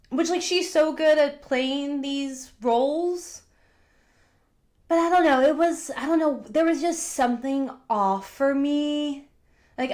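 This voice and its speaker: A chatty woman with a fairly high voice, hosting a podcast and recapping reality TV gossip. She keeps saying "like" as she retells scenes. Her voice sounds stuffy from a cold. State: congested from a cold. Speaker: podcast host. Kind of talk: casual pop culture recap.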